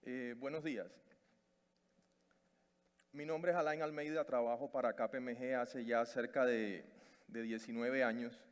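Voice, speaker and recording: A man with a Cuban Spanish accent gives a talk from a conference stage. His voice sits at an ordinary pitch and he restarts words often, filling gaps with little hesitations. The hall adds a long reverb. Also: fairly even intonation, measured pace, long pauses. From Cuba. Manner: hesitant, many fillers and restarts. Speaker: conference speaker.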